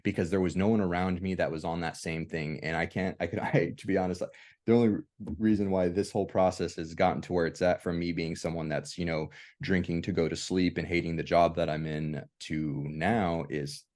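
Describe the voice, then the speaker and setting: Very deep-voiced, halting man. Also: steady tone, a little mellow. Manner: halting, hesitant, circles back to rephrase. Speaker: host of a veterans' discussion group. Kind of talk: casual reflective group discussion.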